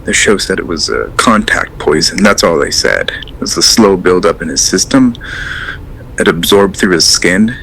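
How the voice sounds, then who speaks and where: A man's voice: sits low, animated, normal speed, gravelly, fairly bright tone, a little hiss or hum, heavily acted, rough recording. A man acting as a hesitant, rambling caller to a late radio show in an audio drama, earnest and unsettled. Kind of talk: audio drama, naturalistic phone-in call.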